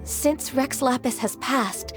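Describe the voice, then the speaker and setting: A woman playing a gentle, polite, reserved young half-human, half-qilin secretary in a video game, speaking in a soft, formal, earnest way. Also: high-pitched, takes it slowly, over music. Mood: hesitant, earnest. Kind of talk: English video game voice acting.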